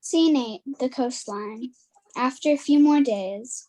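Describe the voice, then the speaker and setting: A woman's voice: high-pitched, slow, mellow, poor audio. A woman narrator reading a scripted folktale as part of a dramatic performance.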